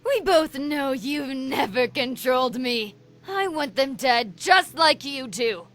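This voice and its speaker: A woman's voice: sits high, lively intonation, slow pace, full character voice. A woman voicing a defiant, impatient devil henchwoman in a visual novel, cutting and commanding. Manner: sharp, commanding.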